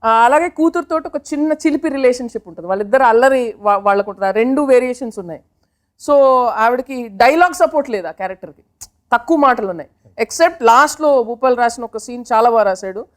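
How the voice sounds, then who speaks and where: A woman's voice: on the high side, expressive, fast talker. A woman talking casually in an interview, slipping English phrases into her speech. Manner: code-switches into English phrases. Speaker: film team member, interviewee. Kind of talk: casual interview talk about a film.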